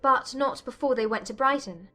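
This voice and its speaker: A woman plays a young Regency gentlewoman in an audiobook drama, with a very high voice. She is earnest, urgent and probing. Faint music sits behind her. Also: normal speed. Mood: anxious, probing.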